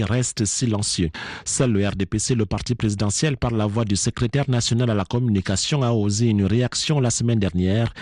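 A male correspondent reads a scripted radio report in a steady, formal way. His voice is somewhat low. The recording is dry and close. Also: no echo.

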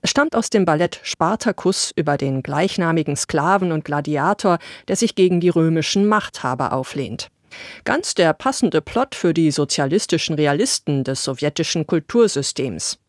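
A woman's voice: deep voice, no echo. Woman presenting classical radio, dry studio. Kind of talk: scripted radio narration.

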